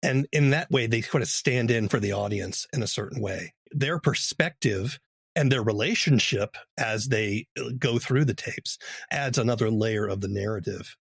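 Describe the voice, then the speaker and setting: A man's voice: fairly low pitch, moderate pace, creaky, breathy edge, no room sound, studio quality. A man speaking as a podcast host, explaining and interviewing in a conversational style.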